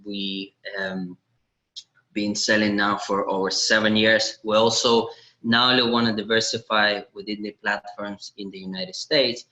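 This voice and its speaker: A man. His voice is lowish but bright and forceful. He talks earnestly and haltingly in a casual interview, making small grammar slips as he goes. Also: fairly even intonation, low-quality recording. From Latvia. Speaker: podcast guest, online seller.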